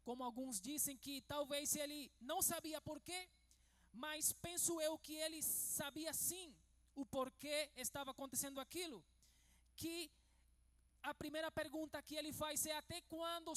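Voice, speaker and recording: A man from Venezuela, preaching a sermon as pastor in a measured way that turns emphatic. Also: extremely high pitch, a bit bright.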